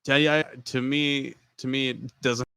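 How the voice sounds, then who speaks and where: A man's voice: mid-range voice, steady tone, a little slow, vocal fry, bright, forceful tone, smooth, no echo. A man co-hosting a podcast, chatting casually in a jokey way. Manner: jokey, drops into silly put-on voices. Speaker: podcast co-host. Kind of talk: casual chat.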